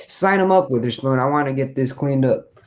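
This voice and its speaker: A man's voice: mid-range voice, fairly quick, noisy background, lightly acted. A man playing an impatient, brusque police lieutenant in a radio play.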